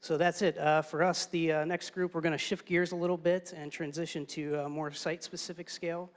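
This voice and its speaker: Flat-voiced man presenting a plan. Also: a little high, fairly quick. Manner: steady, lots of "uh" and "kind of". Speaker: urban design presenter. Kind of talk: presentation to an audience. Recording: reverberant hall.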